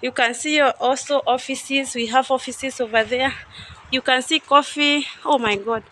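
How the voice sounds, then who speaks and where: Young woman, high bright voice, casual vlog guide who thinks aloud; phone mic outdoors with faint background noise. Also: steady tone, unhurried. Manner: chatty, unsure, thinking aloud. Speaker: vlogger touring a coffee farm. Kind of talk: casual guided walk-through. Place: outdoors at a coffee farm and factory. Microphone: phone camera held by speaker.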